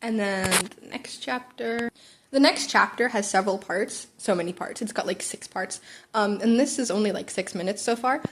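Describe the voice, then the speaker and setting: A woman's voice: on the high side, a bit bright, husky. A woman narrating fan fiction as an amateur reader, often stumbling and rereading phrases. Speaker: podfic narrator.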